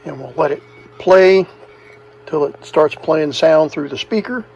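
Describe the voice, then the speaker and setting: Man talking through a hands-on repair, higher-pitched. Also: a little slow, noisy background. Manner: plainspoken, talks through each step. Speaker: host, electronics repair hobbyist. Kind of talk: hands-on demonstration and explanation, casual.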